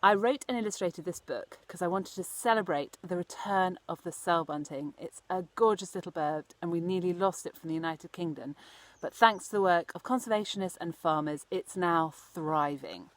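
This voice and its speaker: A woman narrating a children's storybook as its author, with an animated, dramatic delivery. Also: on the low side, normal speed, no echo. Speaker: author reading own children's book.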